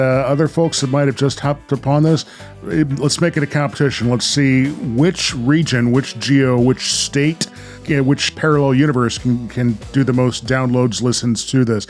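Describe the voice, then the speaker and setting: American man hosting, faint music behind. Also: mid-range voice. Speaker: podcast host. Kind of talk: casual chat and narration.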